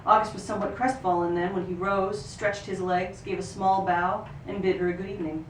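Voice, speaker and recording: Female reader for literary fiction, expressive third-person storytelling, recorded off-mic in a roomy space. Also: medium pitch, a little fast. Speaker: actor reading a book excerpt. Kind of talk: live literary reading, third-person narration. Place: live reading in a roomy venue. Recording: roomy, distant microphone, noisy ambience.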